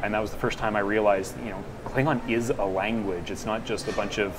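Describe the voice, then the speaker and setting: A man co-hosting a casual pub conversation, talking in halting bursts with many fillers and restarts. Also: lowish voice, fairly even intonation, background noise. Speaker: podcast co-host and linguist. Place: noisy pub.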